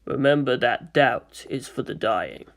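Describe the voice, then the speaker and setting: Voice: medium pitch, expressive, measured pace, voice pushed down. A narrator voicing a severe, unforgiving toy space soldier who speaks in pronouncements. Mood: stern. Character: stern, unforgiving Space Marine figurine.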